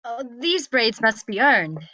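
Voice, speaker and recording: A woman's voice: fairly high pitch, sing-song, a little slow, pushed and bright, no echo, exaggerated voice. A woman playing a haughty, bossy, petulant young princess in a comedic script read, with an imperious, commanding manner.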